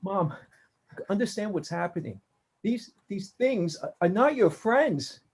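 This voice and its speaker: A woman's voice: medium pitch, lively intonation, measured pace, a little mellow, breathy edge. A woman playing a defiant adult son who rants sarcastically. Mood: pleading. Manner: ranting, sarcastic, confrontational.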